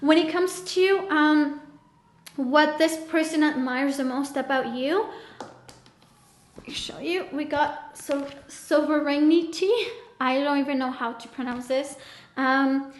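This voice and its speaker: A woman's voice: high voice, slow, roomy sound. A woman giving a casual tarot reading, chatting loosely and speaking straight to the viewer, her voice a little strained. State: voice feels off, a bit strained. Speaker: tarot reader.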